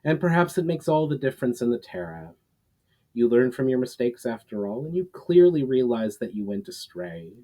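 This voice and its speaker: A man voicing an ancient, forgiving guardian and mentor in a fantasy story, speaking in a solemn, formal, deliberate way. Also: medium pitch, expressive, dark tone, lightly acted, low-quality recording.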